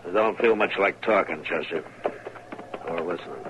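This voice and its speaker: A male actor plays a gruff American frontier marshal who sounds ill and worn out. His low voice is terse and grumpy. It is old radio drama with clear background noise. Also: moderate pace, highs cut off, close to an everyday voice. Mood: terse, weary. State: sick and worn out. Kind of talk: 1950s radio western acting. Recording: noisy vintage radio recording.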